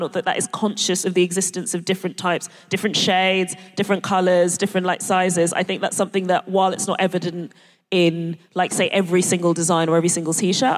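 A woman guest comedian on a live stage, bubbly and giggly with playful slang. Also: on the low side. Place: concert hall stage. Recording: long reverb of a live concert hall.

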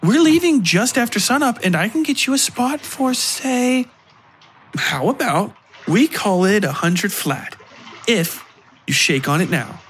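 A man voicing a brisk, cheeky young saleswoman in audio fiction, talking in eager, persuasive sales patter. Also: sits high, very animated, a bit bright, slight background noise, pitched above the performer's own voice, full character voice. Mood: coaxing. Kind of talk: audio fiction character dialogue.

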